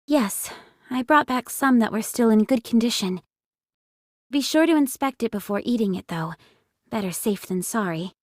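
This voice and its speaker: An actress playing a composed, dutiful young android squad leader in an anime game, speaking softly in a polite, reserved, formal way. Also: female voice, on the high side, lively intonation, moderate pace, dry recording, studio quality.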